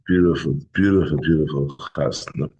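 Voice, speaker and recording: A hoarse middle-aged man teaching a Talmud class in a halting way, often clearing his throat. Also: rumbling low, darkish tone, dull audio. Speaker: Torah teacher.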